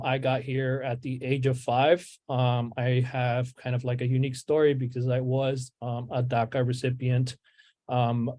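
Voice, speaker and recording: A man's voice: mid-range voice, mellow. A man introducing himself on a panel, hesitant with many fillers.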